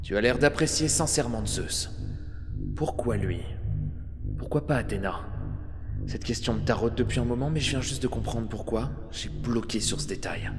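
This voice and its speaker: An actor playing a bold, wry adventurer hero in a French audio drama set in ancient Athens. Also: male voice, mid-range voice, moderate pace, vocal fry, lightly acted. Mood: keen, probing. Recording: long reverb, loud music behind.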